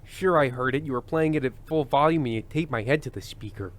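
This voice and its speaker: A man playing a hesitant, uneasy old-fashioned TV variety host with halting, folksy patter, in the style of an old-time radio play. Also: mid-range voice, natural voice. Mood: uneasy, reluctant.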